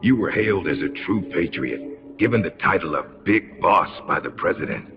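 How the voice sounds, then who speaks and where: Sadistic game interrogator: extremely low, gravelly voice, mock-courteous and deliberate, muffled audio under music. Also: male voice, expressive. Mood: probing, menacing. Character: menacing male military interrogator, smugly polite and sadistic. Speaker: voice actor in a game cutscene. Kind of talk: dramatic video game acting.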